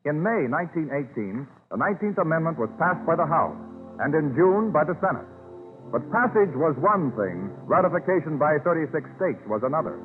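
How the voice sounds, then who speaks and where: A man's voice: fairly high pitch, sing-song, brisk, faint music behind. A man narrating a 1930s radio drama in a formal, dramatic manner. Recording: tinny 1930s radio recording.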